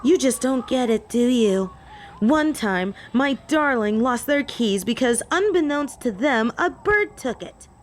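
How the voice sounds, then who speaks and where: A woman's voice: on the high side, lively intonation, measured pace. A woman playing a possessive, haughty female vampire in an audio roleplay, taunting and theatrical, with clingy endearments. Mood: smug, threatening. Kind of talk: scripted audio roleplay acting.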